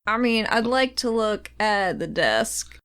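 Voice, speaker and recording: A woman's voice: fairly high pitch, lively intonation, takes it slowly, fairly bright tone, clean tone. A woman chatting casually and playfully as a player in an improvised tabletop game.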